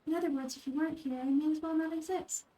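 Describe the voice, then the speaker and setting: Woman as a mellow, gentle young girl deity. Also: high-pitched, fairly even intonation, natural voice. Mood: earnest. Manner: measured, musing explanations.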